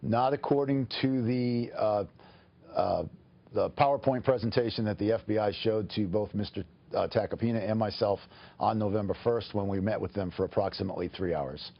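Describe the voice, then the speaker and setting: A man with a fairly low voice gives formal, measured explanations as a lawyer on a TV news interview. He speaks haltingly, and the sound is slightly dull. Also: vocal fry. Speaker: attorney, interview guest.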